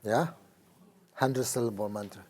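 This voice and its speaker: A man with a Tibetan accent teaches a small class in a very unhurried way. He recites mantra syllables slowly, then explains practice in broken, hesitant phrases with lots of "you know" and "uh". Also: fairly low pitch, fairly even intonation, a little mellow. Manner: slow chanting, then halting conversational explanations with fillers. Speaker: Buddhist teacher. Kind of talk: dharma teaching with mantra chanting. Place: teaching room with students.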